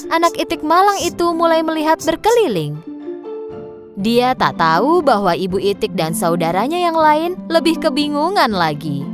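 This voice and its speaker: A woman narrating a children's story in an expressive, scripted storybook style. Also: high voice. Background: music.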